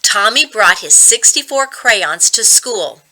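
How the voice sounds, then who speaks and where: A woman reading a children's story aloud as a reading coach, deliberate and expressive. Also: medium pitch, slight background noise, low-quality recording.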